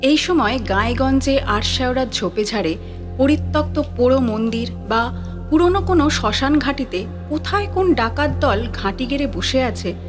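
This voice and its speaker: A woman tells a ghost story with wry, lively scripted narration. Her voice sits a little high. Sitar music plays clearly beneath her. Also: normal speed. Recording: sitar music bed behind.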